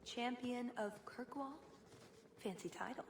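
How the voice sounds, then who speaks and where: A woman's voice: fairly high pitch, a little slow, slight background noise. An actress voicing a curious, breezy woman rogue companion in a video game, talking casually and inquisitively.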